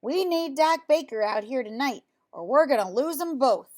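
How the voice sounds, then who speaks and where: A woman's voice: high-pitched, animated, normal speed. A woman reading the part of a kindly, plain-spoken male farmer in a children's storybook, with emphatic delivery. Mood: urgent, worried.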